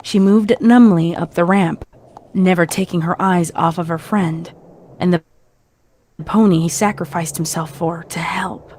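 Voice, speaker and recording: A woman narrates a dramatic story from a script. Her voice sits a little low, and there is slight noise behind it. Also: steady tone, unhurried, a little mellow.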